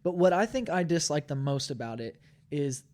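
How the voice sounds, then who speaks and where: A man's voice: fairly high pitch, lively intonation, a little mellow. A man, a podcast co-host, sharing thoughtful, hedged opinions in a casual group chat. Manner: measured, thoughtful, hedging opinions.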